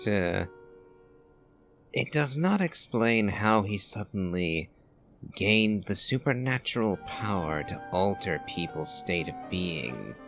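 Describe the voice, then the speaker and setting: A man with a very low voice full of creak comments on a video game. He speaks very slowly and deliberately, musing as he analyses, with game music playing behind him. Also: fairly bright tone. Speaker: game streamer. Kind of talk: reflective gameplay commentary.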